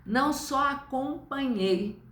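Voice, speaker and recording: Woman, 70s, Brazilian accent. Unhurried, didactic lecturer, medium pitch, roomy echo. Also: fairly bright tone. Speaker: spiritist study lecturer. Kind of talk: informal religious lecture to online viewers. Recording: echoey room.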